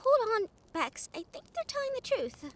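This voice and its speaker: Woman playing a young harpy healer, very high pitch, lively intonation, earnest, kind, excitable, audio drama. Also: moderate pace, slight background noise. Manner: bubbly, gentle, earnest. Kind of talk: audio roleplay acting.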